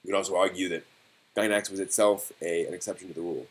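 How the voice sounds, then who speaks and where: A man hosting a casual live stream discussion, thinking aloud in a halting way and posing rhetorical questions. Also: low-pitched, steady tone.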